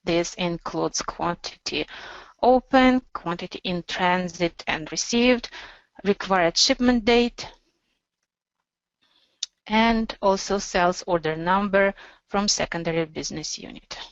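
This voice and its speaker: Woman presenting a software demo, dry muffled sound. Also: lowish voice, slow, dry recording. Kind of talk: instructional software demo narration.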